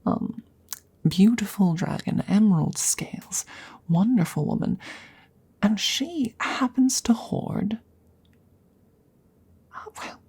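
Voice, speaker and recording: A woman voicing a courteous, eccentric female dragon in an ASMR fantasy roleplay, speaking in a soft breathy whisper with halting, formal, coaxing phrasing. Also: mid-range voice, full character voice. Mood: fond, musing.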